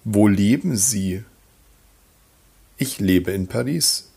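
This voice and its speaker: Very slow gravelly male teacher voice. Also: lowish voice, dark tone. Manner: very slow, reading example sentences and repeating them. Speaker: German grammar teacher. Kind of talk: slow scripted grammar lesson voice-over.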